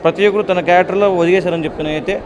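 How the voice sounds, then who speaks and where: A man's voice: sits high, fairly even intonation, racing, noisy background. A man giving a casual public review to camera, returning to the same approving phrases again and again. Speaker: moviegoer giving a film review.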